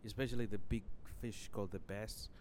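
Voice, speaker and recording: A man's voice: fairly low pitch, steady tone, brisk, a little mellow, a little hiss or hum. Man rambling through a story. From Zimbabwe. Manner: rambling, leans on "you know" constantly. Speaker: podcast host. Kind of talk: casual solo podcast storytelling.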